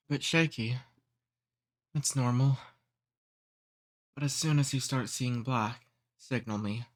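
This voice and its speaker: Guy doing a flirty ancient vampire for asmr, real slow and teasing, kinda bossy but courtly, super dry close sound. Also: mid-range voice, no room sound, lightly acted. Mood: tender, charming. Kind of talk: intimate ASMR roleplay.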